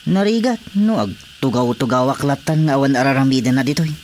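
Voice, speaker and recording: An actor playing an eager, hesitant young brother-in-law in an Ilocano radio comedy drama, with an Ilocano accent. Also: male voice, mid-range voice, lively intonation, a little fast, darkish tone, lightly acted. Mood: obliging, sheepish. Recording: faint background noise with crickets.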